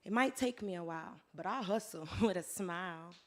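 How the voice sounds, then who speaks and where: A hoarse woman performing her spoken word poetry live, in a rhythmic rhyming delivery that swings between soft lines and loud declarations. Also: fairly low pitch, normal speed. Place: live venue with audience.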